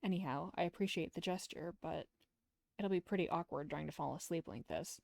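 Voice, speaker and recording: A woman's voice: lowish voice, a little fast, vocal fry, darkish tone, no room sound. A woman streamer reading visual novel text aloud as narration, with casual asides, close to the mic.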